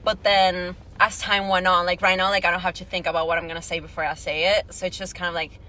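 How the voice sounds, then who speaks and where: A woman's voice: fairly low pitch, flat delivery, fast talker, bright, forceful tone. A woman in her late teens from Venezuela, a native Spanish speaker, chatting casually as a podcast guest with lots of filler "like". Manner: chatty, peppers talk with "like". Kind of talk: casual conversational interview answers.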